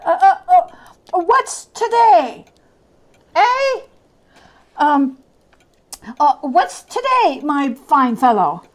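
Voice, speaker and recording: Woman playing a high-pitched, stammering old miser. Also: sing-song, very slow, long pauses, full character voice. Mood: giddy, overjoyed. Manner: stammering, exclaiming, overwrought. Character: repentant old miser man, pleading then giddy with joy. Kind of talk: dramatic reading of a Victorian storybook.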